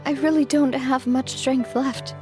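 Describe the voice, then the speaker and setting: A young woman voicing a proud gang boss in a game, speaking softly and hesitantly. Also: high voice, steady tone, measured pace. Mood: subdued. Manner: soft, subdued, hesitant. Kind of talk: game voice acting. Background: soft game music.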